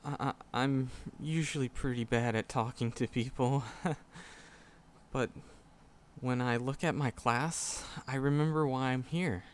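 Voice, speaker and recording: A man's voice: medium pitch, fairly even intonation, unhurried, a little hiss or hum, lightly acted. A man playing a shy, nervous magic professor in a roleplay audio drama, skittish and earnest, stammering and repeating words as he talks to the listener. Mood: bashful, sincere.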